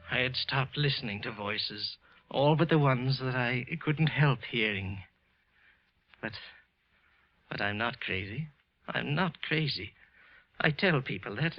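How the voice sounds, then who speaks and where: An actor playing a deranged, obsessive murderer in 1940s radio thriller style, repeating himself from mutters to shrill frenzy. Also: male voice. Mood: bitter, brooding. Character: deranged murderer clerk, gibbering, possessive of imagined voices. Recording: dull old radio recording.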